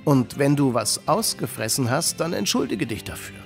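A man playing a kind, wise uncle who gently instructs children in an audio drama. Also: mid-range voice, big pitch swings, normal speed, vocal fry, soft background music. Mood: kindly, firm.

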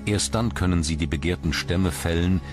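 A man reading scripted documentary narration in a measured, articulate storytelling manner. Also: low-pitched. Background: music.

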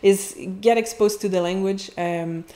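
A woman in her thirties with a Quebec French accent, a French teacher talking as a guest in an animated interview with many restarts, heard over earphone mic on a video call. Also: medium pitch, a little slow. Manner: animated, chatty, frequent restarts and laughs. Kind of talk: casual interview chat. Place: indoor home office. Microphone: wired earphones with mic on a video call.

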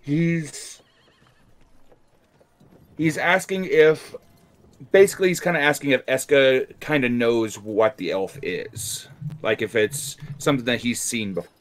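A man chatting casually as a player in a tabletop game, deliberately explaining what he does. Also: medium pitch.

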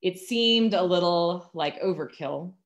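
A woman in her thirties with an American accent gives a sermon in measured, deliberate phrases with emphatic pauses, over a video call from a room at home. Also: on the low side. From Virginia, United States. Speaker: pastor. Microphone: computer mic on a video call.

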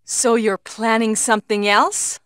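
An actress playing a brave young heroine in a video game, earnest and deliberate, with stilted delivery. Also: female voice, a little high, steady tone, fairly bright tone, clean tone, no echo, studio quality. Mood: accusing. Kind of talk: video game cutscene acting.

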